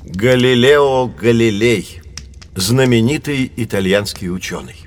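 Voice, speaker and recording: An actor playing a learned, patient guide who explains science to children in an educational audio drama, in a measured, didactic way. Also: male voice, medium pitch, close to an everyday voice. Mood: explaining.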